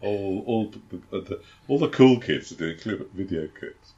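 A man with a fairly low voice chats casually as a game master, stumbling over restarts and fillers. His humour is dry and self-deprecating. The recording sounds slightly dull and lo-fi. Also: animated, normal speed, mellow, husky. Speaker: game master and podcast co-host. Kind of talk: casual chat and tabletop game setup.

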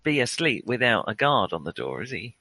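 A man's voice: mid-range voice, big pitch swings, brisk, gravelly, bright, forceful tone, slightly rough, dry recording. A man playing a tabletop game, joking and laughing often. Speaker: player. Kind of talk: casual tabletop game chat.

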